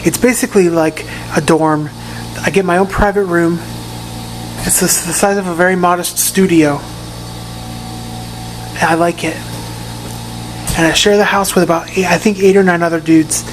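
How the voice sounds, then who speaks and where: Hoarse man with a raspy voice. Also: high voice, fairly even intonation, background noise. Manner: halting, often repeats and restarts words. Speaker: patient giving a recovery update. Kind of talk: casual video update. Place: private room in a rehab facility.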